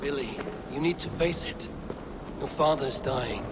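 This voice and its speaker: An actor playing a steady, loyal Viking warrior leader in a game, direct and firm with a dry teasing edge. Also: male voice, mid-range voice, unhurried, very noisy, lightly acted. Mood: earnest, insistent. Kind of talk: dramatic video game cutscene acting.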